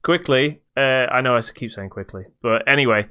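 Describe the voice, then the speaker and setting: A man's voice: mid-range voice, brisk, bright, forceful tone, clear, resonant tone. A man giving his opinions in casual podcast chat about games, feeling his way through his phrasing. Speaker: podcast co-host.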